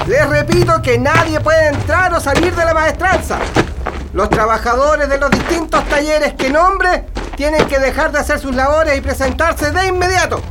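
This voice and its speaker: A man playing a harsh, domineering military officer in a radio drama, barking orders in a commanding, deliberate way with a Chilean accent. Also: extremely high pitch. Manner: barking, commanding, deliberate roll-call delivery. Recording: very noisy radio drama track.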